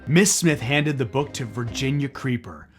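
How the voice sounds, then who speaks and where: A man's voice: medium pitch, moderate pace, soft background music. A man reading a children's book aloud as theatrical narration, dramatic and playful.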